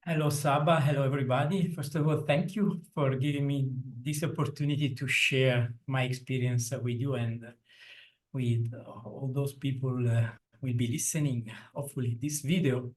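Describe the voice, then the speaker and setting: Italian-accented middle-aged man, hesitant, many restarts; ordinary mid pitch. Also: steady tone, normal speed, low-quality recording. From Italy. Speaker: interview guest, internal coach. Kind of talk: conversational interview.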